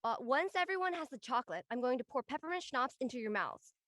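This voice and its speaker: An earnest, frank college-age woman played by an actress in an audio drama among friends. Her voice sits fairly high and is very dry, with a sincere, conversational delivery. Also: lively intonation, quick pace, fairly bright tone, no echo. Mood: playful, bossy.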